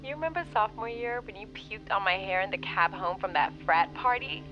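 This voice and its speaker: A woman's voice: medium pitch, animated, a little fast, muffled recording, faint music behind. An actress playing an affectionate, teasing young mother and close friend, through a telephone filter in an audio drama. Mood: teasing, happy.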